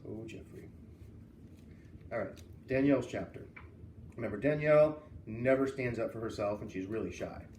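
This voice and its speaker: A man's voice: medium pitch, moderate pace, pauses often, a little mellow. A man speaking as a teacher, introducing and commenting on a children's book he reads aloud. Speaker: teacher reading aloud to students. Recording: roomy, distant mic, faint noise.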